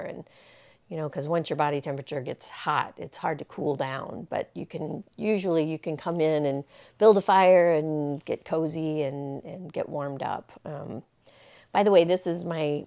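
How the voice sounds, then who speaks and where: A woman's voice: sits low, creaky, a little mellow. A woman in her sixties talking casually to camera, rambling and reflective, with many fillers and restarts. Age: 60s. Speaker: vlogger. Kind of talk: casual personal talk to camera.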